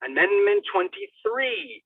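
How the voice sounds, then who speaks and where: Thin phone-like man reading theatrically, swooping pitch. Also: wildly swooping pitch, slow pace, breathy edge, no room sound. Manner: theatrical, declamatory, with sudden emphasis. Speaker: reader of a legal document. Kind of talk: dramatic reading of a formal document. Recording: thin phone-like radio sound, distant mic.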